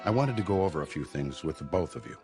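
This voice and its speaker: An actor playing a fatherly sanitarium doctor, measured and reassuring, in a radio drama. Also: a bass voice, big pitch swings, darkish tone, faint music behind, full character voice.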